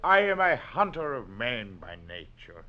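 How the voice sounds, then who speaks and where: On a muffled 1940s radio drama, a world-weary French detective who hunts men for sport. His smooth, theatrical taunts rise and fall widely in pitch around a middling male register. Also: very animated, measured pace. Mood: bored, wistful. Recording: muffled old radio recording.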